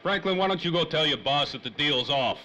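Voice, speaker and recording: An actor playing a hot-tempered, boozing ex-private detective, with brash, clipped slang in 1930s radio noir style. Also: male voice, a little high, lively intonation, a little fast, bright, forceful tone, faint music behind. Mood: gruff, scornful.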